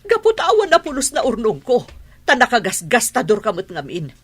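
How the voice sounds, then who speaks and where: Fed-up Ilocano mother for radio serial: husky, animated, scolding. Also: fairly high pitch, fairly quick, exaggerated voice. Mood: nagging. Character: exasperated, scolding mother worried about money. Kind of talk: radio drama acting.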